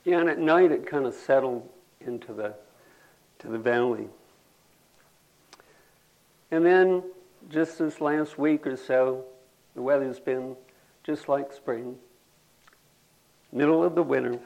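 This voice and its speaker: An older man, telling folksy rambling stories to a gathering and clearing his throat now and then. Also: mid-range voice, slow, pauses often, darkish tone, dull audio. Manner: folksy, drawling, says y'all. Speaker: storyteller at a community gathering.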